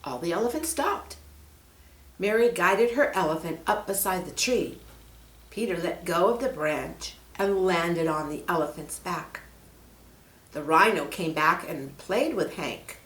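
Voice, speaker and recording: A woman reads a children's story aloud at a slow, deliberate pace. Her pitch moves widely and expressively as she speaks directly to young listeners. The room adds a noticeable echo. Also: lively intonation, a bit bright. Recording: roomy, echoing room.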